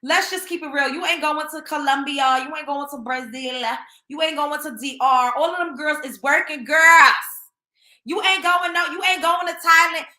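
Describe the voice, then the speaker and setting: Brief: young woman host, blunt and scolding, high bright voice, echoey room. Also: moderate pace. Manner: blunt, scolding, emphatic, repeats points. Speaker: show host. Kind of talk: opinionated commentary monologue.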